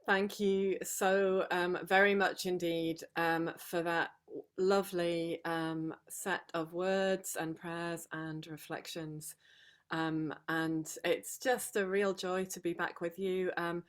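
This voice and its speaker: A middle-aged woman, hoarse from long days of talking, giving an earnest reflective talk on a video call with many ums and restarts. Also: lowish voice. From England, Sheffield. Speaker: guest speaker.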